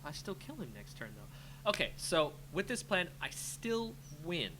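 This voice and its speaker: A man's voice: high-pitched, big pitch swings, measured pace. A man giving live gameplay commentary, thinking aloud in a casual, reactive, muttering way as he streams a card game. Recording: clear background noise with hum.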